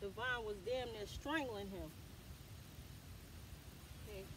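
Homestead vlogger: woman, slow easygoing delivery, filmed outdoors from a distant camera over heavy background noise. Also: mid-range voice. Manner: folksy, addresses viewers as y'all, Southern US dialect. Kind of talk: casual chat to camera while gardening. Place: backyard garden outdoors in summer. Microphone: camera on tripod at a distance.

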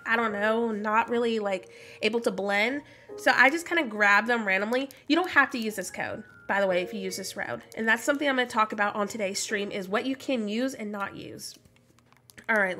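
A woman's voice: a little high, bright, forceful tone. A woman in her thirties, stuffy from a cold, chatting casually with viewers while livestreaming a game and greeting them by name. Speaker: streamer. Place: home room, indoors. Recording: music playing behind voice.

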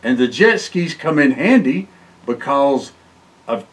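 An older man reading a children's picture book aloud in an expressive way, with exclamations and short asides. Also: medium pitch, slow. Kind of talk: storybook read-aloud for children. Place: indoor library room, seated by bookshelves.

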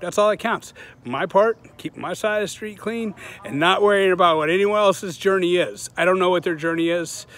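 A man's voice: high voice, moderate pace, fairly bright tone. A man in his forties talking casually to his viewers in a loose, rambling, earnest way with plenty of swearing, recorded outdoors on a phone held at arm's length. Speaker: vlogger, channel host. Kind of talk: casual selfie vlog to viewers. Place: outdoors on a sunny suburban street.

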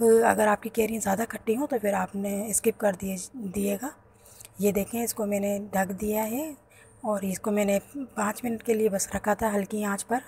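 A woman, hosting a home cooking channel and calmly talking through each step of a recipe. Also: medium pitch, slight background noise. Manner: explains each step plainly to viewers. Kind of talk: home cooking tutorial voice-over. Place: home kitchen.

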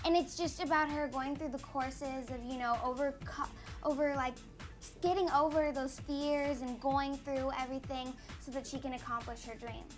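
A woman's voice: high-pitched, fairly even intonation, moderate pace, soft background music. A teenage American girl chatting casually as an interview guest, bubbly and peppering her talk with "like". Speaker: interview guest, young actress. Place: small TV studio lounge. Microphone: lapel mic.

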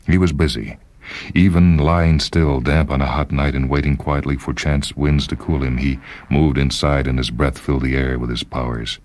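A man's voice: extremely low pitch, steady tone, normal speed, darkish tone. A man narrating a literary short story dramatically, with music behind him.

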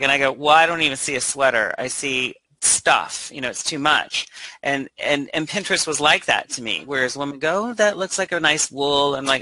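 A middle-aged man with a very bright, sharp voice hosts a casual video chat. He speaks haltingly, repeating words as he goes. The headset sound is close and dry. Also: a little high, no room sound, low-quality recording. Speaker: talk show host. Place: home room, video call.

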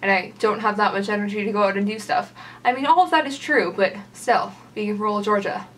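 A woman's voice: medium pitch, a little fast, a bit bright, recorded from a distance, slight background noise. Chatty young woman rambling to camera. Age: young adult. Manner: chatty, lots of "like" fillers, gestures with her hand. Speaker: vlogger. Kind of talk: casual rambling vlog. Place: small room at home, indoors by a door.

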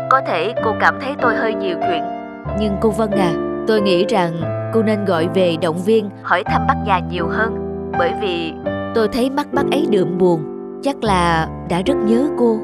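A woman voicing an earnest, considerate male repairman in a cartoon, polite and measured with some hesitation. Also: mid-range voice, lightly acted. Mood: gently concerned. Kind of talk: cartoon dubbing.

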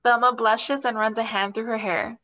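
A woman's voice: fairly high pitch, fairly even intonation, normal speed, bright, forceful tone, highs cut off. A young woman reading scripted stage directions as the narrator of a play reading.

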